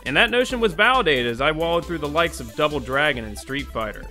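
Bright, higher-voiced man, wryly sarcastic. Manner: wry, sarcastic, profane. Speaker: host of a video game movie review show. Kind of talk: scripted video essay narration.

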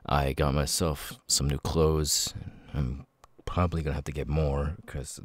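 An extremely deep-voiced, troubled ex-convict, acted by a man in an ASMR roleplay. He speaks slowly and haltingly, apologetic and stammering, in a very dry, close recording. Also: level tone, no room sound. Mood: confiding, hesitant. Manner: halting, stammering, sudden loud tic outbursts. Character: apologetic, troubled male ex-convict with involuntary tics. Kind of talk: ASMR audio roleplay, halting confiding monologue.

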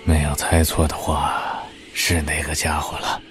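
A man playing a grave, loyal old martial master in an audio drama, solemn and measured. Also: a bass voice, monotone, noisy background. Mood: cautious.

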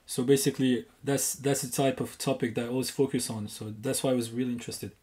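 Brief: male caller from Morocco, French native speaker, mellow warm tone, confident and explanatory. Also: medium pitch, steady tone, quick pace. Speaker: guest caller. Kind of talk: casual phone interview.

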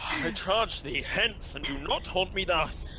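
A man's voice: sits high, lively intonation, takes it slowly, sharp tone, exaggerated voice, poor audio. A man playing a curt, scornful young nobleman with stern delivery in a Shakespearean radio play.

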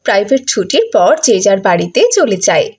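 Woman, story narrator, medium pitch, animated intonation, scripted delivery. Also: brisk, husky.

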